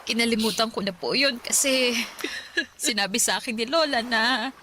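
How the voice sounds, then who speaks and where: An actress playing an earnest, forgiving grown daughter in a radio drama, with a polite, halting delivery. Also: female voice, on the high side, pushed and bright, slight background noise. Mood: earnest, emotional. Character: earnest, forgiving grown daughter seeking her long-lost mother. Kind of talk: Tagalog radio drama acting. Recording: music under the dialogue.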